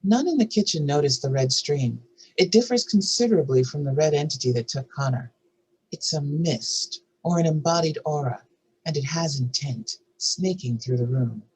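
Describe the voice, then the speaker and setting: Husky screenplay narrator. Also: medium pitch, normal speed, a little mellow, poor audio. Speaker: narrator reading stage directions. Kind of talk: scripted screenplay table-read narration.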